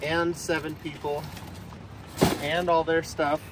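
A man joking around in a mock sales pitch about a car. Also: flat delivery, slow, very noisy. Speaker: husband on vlog.

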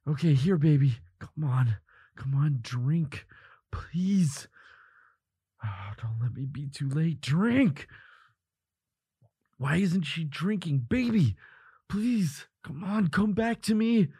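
A man playing a devoted, protective boyfriend in a dramatic audio roleplay, with an emotive, halting delivery. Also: fairly high pitch, lively intonation, warm tone, breathy edge, no echo, studio quality, exaggerated voice. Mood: frantic, pleading. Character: devoted boyfriend of a vampire, protective, frantic, defiant.

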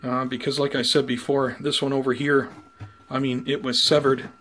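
A man giving an unhurried, conversational show-and-tell as a hobby vlogger, talking casually indoors. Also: medium pitch, flat delivery, a bit bright. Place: indoors at home by plant shelves. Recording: clear background noise, room ambience.

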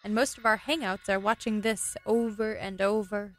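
A woman's voice: medium pitch, steady tone, moderate pace, soft background music, close to an everyday voice. An actress playing a playful young girlfriend in a radio play, with teasing, affectionate, conversational delivery. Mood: tentative. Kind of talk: radio play acting, intimate dialogue.

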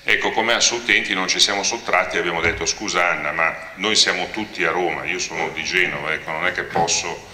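A man with a very low, sharply bright voice gives opening remarks at an event, telling a story and retelling past conversations. He stands holding a handheld mic in a large hall, and his voice rings out with a long reverb. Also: fairly even intonation, fast talker, few pauses, slight background noise. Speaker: consumer association representative.

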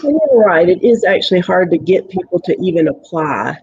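A woman's voice: on the low side, animated, brisk, darkish tone, slight background noise. A woman guest on a video call panel with a stuffy, congested voice, explaining things in a friendly way. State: stuffy-nosed after sinus surgery. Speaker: panel guest, former officer. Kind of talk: casual panel talk. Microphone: webcam on video call. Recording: muffled video call audio.

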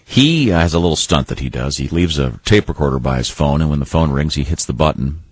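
Super deep raspy radio host guy chatting casually, dry close sound. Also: expressive, quick pace, no room sound. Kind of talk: casual show talk.

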